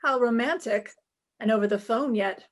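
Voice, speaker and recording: A woman's voice: a little high, normal speed, natural voice. An actress playing a wry, breezy, flirtatious female private eye in a 1950s-style radio detective drama. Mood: wry, teasing.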